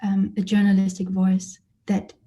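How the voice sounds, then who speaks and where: A woman who grew up in Germany, an artist giving a lecture about her exhibition and speaking thoughtfully with frequent pauses and fillers. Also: mid-range voice, monotone, warm tone, highs cut off. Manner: hesitant, many fillers and restarts, thoughtful. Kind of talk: lecture presentation. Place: lecture or online presentation.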